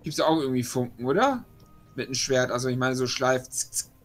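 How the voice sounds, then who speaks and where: A man's voice: mid-range voice, quick pace, soft background music. A man talking casually as a player in a tabletop roleplaying game, slipping in and out of character.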